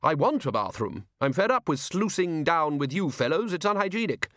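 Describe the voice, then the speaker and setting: A man's voice: on the high side, very animated, moderate pace, no echo, studio quality, pitched above the performer's own voice. A man doing a blustering, bossy old head wizard. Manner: loud, blustering, insistent. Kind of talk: audiobook character voice.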